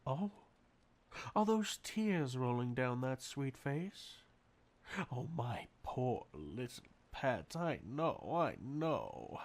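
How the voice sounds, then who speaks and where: A man's voice: mid-range voice, very animated, very slow. A man playing a sadistic, possessive centuries-old vampire master in an intimate ASMR roleplay, murmuring and whispering breathily with menacing condescension. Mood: mock-soothing.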